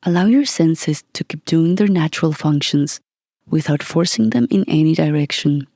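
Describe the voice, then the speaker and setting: A meditation guide reading a scripted session in a calm, gentle, soothing manner with long pauses. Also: medium pitch, creaky, dry recording, clean studio sound.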